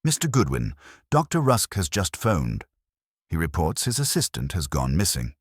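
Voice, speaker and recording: A man voicing an aloof, precise older detective in clipped, unhurried dialogue. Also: low-pitched, wildly swooping pitch, breathy edge, no room sound, studio quality, full character voice. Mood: commanding. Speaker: armchair detective. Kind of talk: audiobook dialogue.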